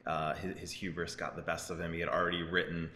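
A man's voice: deep voice, little pitch movement, fast talker, bright, forceful tone. A man in his thirties co-hosting a casual tech news chat, often breaking off mid-thought and checking for agreement. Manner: self-interrupting, conversational, seeks agreement with "right?". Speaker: co-host of a tech news show. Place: open-plan office, indoors on a couch. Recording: roomy, echoey office, faint background noise.

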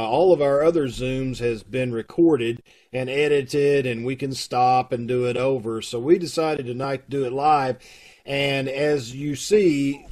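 A middle-aged man giving casual, explanatory announcements to an online audience over a video call. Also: medium pitch. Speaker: troupe announcer. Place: room at home, indoors.

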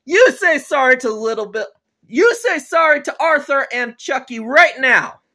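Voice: mid-range voice, expressive, moderate pace, fairly bright tone, close to an everyday voice. A performer voicing an angry, scolding cartoon father with dramatic, repetitive exclamations. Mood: furious scolding. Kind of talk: amateur animated grounding video dialogue.

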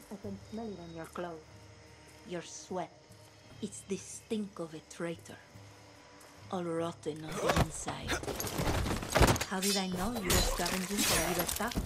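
A woman's voice: fairly low pitch, natural voice. An actress playing a menacing woman soldier in a video game, scornful and contemptuous. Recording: very noisy background.

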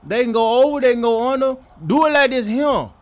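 A man's voice: very high voice, brisk. A man voicing a cocky, whiny Slovenian male basketball star in a comedy dub, exclaiming, taunting and complaining to referees. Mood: whiny, indignant.

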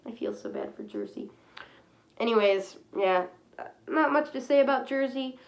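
Stuffy sick woman rambling to her webcam, clears her throat a lot, small room. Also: high voice, moderate pace. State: stuffy nose from a cold. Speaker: fursuit vlogger. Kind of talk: casual chat to camera.

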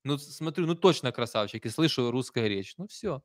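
A man in his thirties with a Kyiv accent talks conversationally into a close desk mic, leaning into certain points and then dropping to softer asides. His voice sits at an ordinary middle pitch. The room sounds dry, with no echo. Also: expressive, brisk. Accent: Kyiv Russian. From Ukraine. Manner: conversational, emphatic, soft-spoken asides. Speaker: streamer reading viewer comments. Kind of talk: live stream chat. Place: small room indoors. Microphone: desk stand mic close up.

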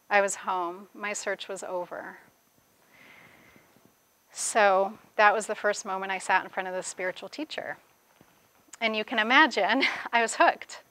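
A woman author in her forties presents her memoir aloud in a bright, clear-edged voice of medium pitch. Her storytelling is measured and expressive. The recording is dry, with little room sound. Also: dry recording. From grew up in the Bahamas. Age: 40s. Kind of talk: reading aloud from own memoir, with spoken commentary. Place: indoors, seated before a plain wall at a reading event.

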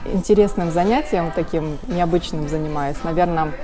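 A woman in her thirties from Russia talks casually in an interview, often stopping on filler sounds and correcting herself. Her voice sits a little low for a woman. Music plays clearly behind her in a small room, picked up by a shotgun mic beside her. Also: normal speed. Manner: rambling, many filler sounds, self-corrections. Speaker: guest, video blogger. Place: small indoor room with sofas. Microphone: camera-mounted shotgun mic beside her.

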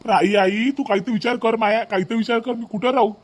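A man's voice: very high voice, fairly even intonation, brisk. A man playing an exasperated village husband and son in a comedy cartoon, repeating himself insistently in a Vidarbha Marathi accent. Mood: pleading.

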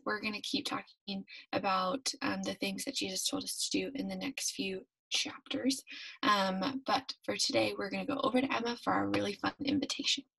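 A woman's voice: medium pitch, gravelly, slightly rough, rough recording. A young woman leading a church devotional from her bedroom, telling a Bible story in a friendly way with many ums, on a webcam. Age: young adult. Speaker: devotion leader. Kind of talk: youth devotional teaching. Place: bedroom at home. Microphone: webcam at desk.

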